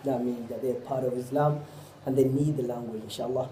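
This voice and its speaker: Male preacher, deep mellow tone, pressing questions, roomy echo. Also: steady tone, very fast, slight background noise. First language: Somali. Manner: exhorting with repeated rhetorical questions; often drops in Arabic phrases. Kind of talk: sermon.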